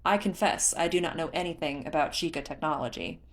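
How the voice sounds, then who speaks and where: A woman's voice: low-pitched, fairly quick, lightly acted. Woman as a formal, weary fish-folk man. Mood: earnest.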